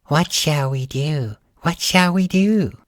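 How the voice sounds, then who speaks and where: A man voicing a female demon keeper from a bedtime storybook, theatrical and expressive. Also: fairly high pitch, takes it slowly, no echo, studio quality, voice pushed up. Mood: worried, dramatic. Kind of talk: storybook character in bedtime audio.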